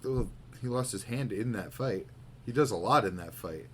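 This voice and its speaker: A man co-hosting a podcast, chatting casually. He is laid-back, laughs easily and lets his sentences trail off quietly. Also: on the low side, moderate pace, a little hiss or hum. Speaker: podcast co-host. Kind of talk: casual chat.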